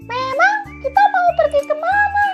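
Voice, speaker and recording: A woman's voice: very high voice, normal speed, muffled recording, music in the background, voice pushed up. A woman voicing a panicky, wronged chicken in a children's tale, with gasping, indignant squawks. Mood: curious.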